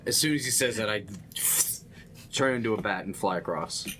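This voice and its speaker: A man's voice: on the low side, a little fast, bright, forceful tone, slight background noise. A man chatting casually as a player in a group tabletop game.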